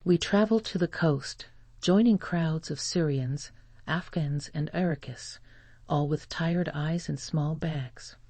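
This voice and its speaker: Soft, creaky storybook narrator with mid pitch. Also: slow, no room sound. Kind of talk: scripted first-person story narration for language learners.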